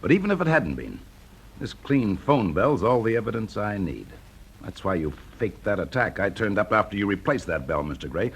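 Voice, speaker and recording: An actor with a British accent playing a confident, shrewd fire investigator in a radio drama, brisk, urbane and teasing. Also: male voice, fairly low pitch, big pitch swings. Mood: shrewd, accusing. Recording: noisy classic radio drama recording.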